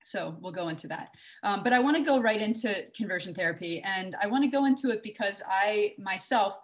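A woman's voice: medium pitch, expressive, fairly quick. A woman in her forties from Texas giving a conversational conference talk over a video call, with many fillers and restarts. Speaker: conference speaker. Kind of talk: online conference talk with slides. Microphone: webcam on a video call.

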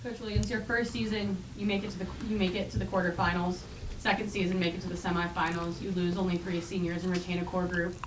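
A woman, a reporter asking questions at a press conference in a steady way. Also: on the low side, quick pace. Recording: distant, roomy with background noise.

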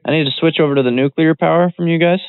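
A man's voice: fairly high pitch, rapid-fire, clear, resonant tone, no echo. Male prank caller, casual and rambling with a straight-faced earnestness, on a narrow dry phone line. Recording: band-limited phone line.

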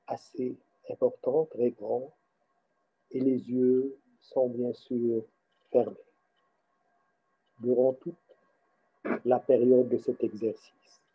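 A man guiding a meditation as its teacher, speaking haltingly in short phrases with pauses between them. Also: mid-range voice, slightly rough, narrow, tinny audio. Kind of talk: guided meditation instruction.

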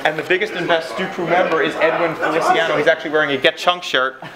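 A man's voice: a little high, fairly even intonation, quick pace, poor audio. A man chatting casually at an indoor event. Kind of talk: casual chat. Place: busy indoor event space. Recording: loud crowd chatter behind.

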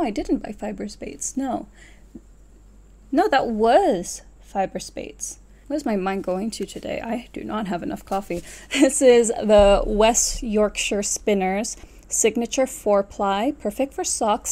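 A woman's voice: mid-range voice, darkish tone. A woman in her twenties chatting casually to camera as a vlogger, rambling and correcting herself as she goes. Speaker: knitting vlogger. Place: small furnished room at home, indoors.

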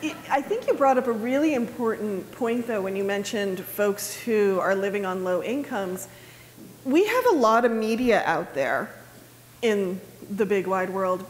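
A middle-aged woman moderating a panel conversation, friendly and self-deprecating. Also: medium pitch. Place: large conference ballroom. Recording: long hall reverb, faint room noise.

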